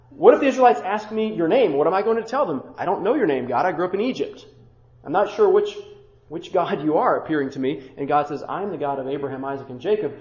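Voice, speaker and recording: A man preaching a sermon as pastor, building rhythmic rhetorical lists with casual conversational asides. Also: sits high. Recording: muffled roomy church recording.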